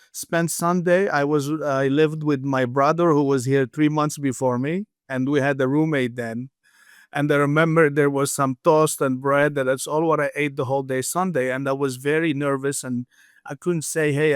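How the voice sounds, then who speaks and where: A man's voice: on the high side, fairly even intonation, moderate pace, smooth, dry recording, studio quality. A man in his fifties from Morocco, with a Moroccan accent, telling his story conversationally as an interview guest. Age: 50s. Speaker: interview guest, restaurant founder.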